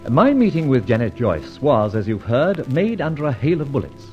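An actor playing a suave, debonair gentleman adventurer in an old radio serial, urbane, wordy and teasing in a mock-formal way. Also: medium pitch, big pitch swings, moderate pace, muffled recording, over music, natural voice. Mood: wry, urbane.

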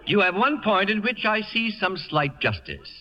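A man's voice: high voice, sing-song, normal speed, pushed and bright, heavily acted. An actor playing an imperious, self-important man of letters in a radio comedy, pompous, sardonic and cutting. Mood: haughty, sardonic. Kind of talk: 1950 radio comedy acting. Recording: reverberant, dull old radio broadcast recording.

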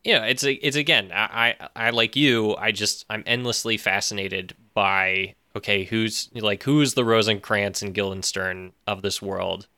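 A man with a fairly low but crisp, clear voice talks as an interview guest. He is casual and thoughtful, often starting a phrase over. The recording is dry and free of room echo. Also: fairly even intonation, normal speed, few pauses, bright, forceful tone. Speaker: podcast guest, audio fiction creator.

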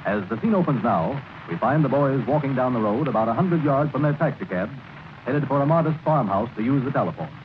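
A man's voice: mid-range voice, expressive, fairly quick. A man narrating as a radio announcer in scripted 1930s style. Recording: crackly 1930s radio broadcast, narrow band.

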